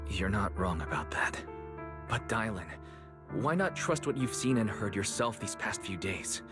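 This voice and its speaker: A man playing a calm, perceptive young man in a video game, earnest and deliberate as he speaks. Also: lowish voice. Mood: earnest, probing. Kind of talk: video game story voice acting. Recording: game music behind the voice.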